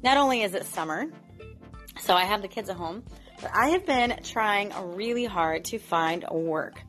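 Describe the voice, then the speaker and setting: A woman's voice: mid-range voice, expressive, moderate pace, fairly bright tone, soft background music. A woman getting over a cough, talking casually and candidly to her viewers, with lots of fillers. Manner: chatty, candid, with frequent fillers. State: recovering from a cough. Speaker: vlogger. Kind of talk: casual chat to camera.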